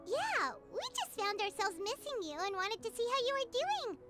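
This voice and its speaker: A woman voicing a tiny floating female fairy companion who chirps excitably and talks about herself in the third person, over game music. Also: very high-pitched, moderate pace. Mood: eager. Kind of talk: video game cutscene voice acting.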